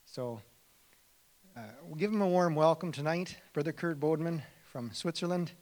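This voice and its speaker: Male pastor giving a loose, halting intro of a guest speaker. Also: fairly high pitch, a little mellow. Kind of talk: church introduction.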